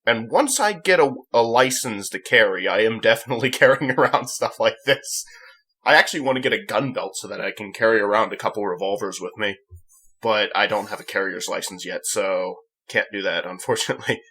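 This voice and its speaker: A young man hosting a gaming channel, talking off the cuff in a rambling, halting way, often correcting himself. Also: on the low side, pushed and bright, breathy edge. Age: young adult. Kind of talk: casual unscripted vlog commentary.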